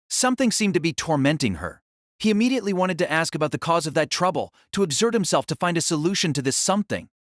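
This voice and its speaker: A man narrating a novel in a solemn, theatrical style with deliberate phrasing. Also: on the high side, no room sound, clean studio sound. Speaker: audiobook narrator. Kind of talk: dramatic scripted novel narration.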